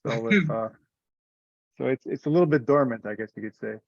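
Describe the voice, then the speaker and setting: Guy explaining stuff kinda haltingly on a casual online meeting, dull dry audio. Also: mid-range voice, lively intonation, vocal fry, no room sound. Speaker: meeting participant leading discussion. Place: online conference call. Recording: muffled, band-limited conference call audio.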